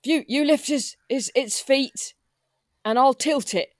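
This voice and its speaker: Dry-recorded, fairly high-voiced woman roleplaying. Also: slow, no echo. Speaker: roleplay podcast player. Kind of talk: improvised in-character dialogue.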